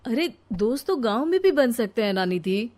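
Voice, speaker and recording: A woman's voice: on the high side, very animated, normal speed, a little mellow. Cartoon young village husband played by a woman: practical, persuasive, reasoning. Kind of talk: cartoon story acting.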